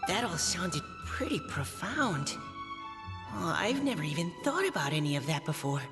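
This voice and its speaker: A woman's voice: on the low side, very animated, moderate pace, music in the background. A woman voicing a modest, shy young boy knight in a video game, with a hesitant, bashful and sincere delivery. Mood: unsure. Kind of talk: scripted video game dialogue.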